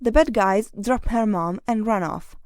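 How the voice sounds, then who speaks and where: A woman's voice: a little high, a little slow, background noise. A woman narrating a children's adventure story from a script.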